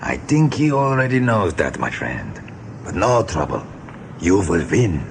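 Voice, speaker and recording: A boastful wild seabird for a 1980s radio drama, deep and unhurried. It speaks in broken, foreign-sounding phrases with swooping self-satisfaction, over a noticeably noisy recording. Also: male voice, big pitch swings, creaky, full character voice. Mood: cocky, reassuring. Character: boastful, cocky wild seabird ally, male. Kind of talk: 1980s radio play acting.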